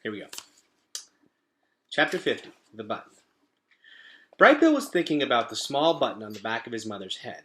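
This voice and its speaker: A man reading a children's storybook aloud to pupils in an expressive, deliberate storytelling manner. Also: mid-range voice, slight background noise.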